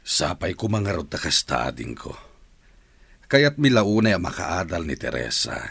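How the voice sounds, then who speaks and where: A man playing a fond but worried middle-aged father and uncle in a radio drama, with a gravelly, husky voice. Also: low voice, big pitch swings, moderate pace, fairly bright tone, dry recording, full character voice. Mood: worried, resigned.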